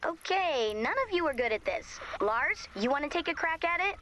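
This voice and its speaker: An actress playing a sardonic, bossy young teammate in a video game, with a dry, snarky delivery. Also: female voice, high-pitched, expressive, normal speed, fairly bright tone, slight background noise. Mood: sarcastic. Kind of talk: video game character voice acting.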